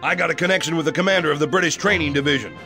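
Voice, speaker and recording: An actor playing a brash, impatient American general, pleading and cocky in a game cutscene. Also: male voice, fairly high pitch, rapid-fire, sharp tone. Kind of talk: video game cutscene acting. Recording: over background music.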